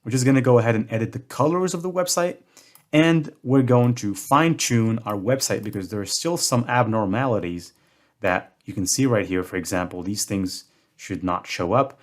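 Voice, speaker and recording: A man's voice: lowish voice, moderate pace. A young man from Morocco hosting a screen-recorded tutorial, talking in a casual way with self-deprecating jokes. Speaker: tutorial host.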